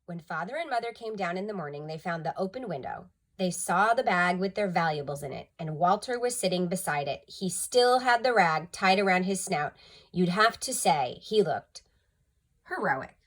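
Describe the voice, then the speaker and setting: A woman's voice: fairly low pitch, animated, normal speed, a bit bright. A woman narrating a children's story expressively, with dramatic emphasis, like a school storytime reader.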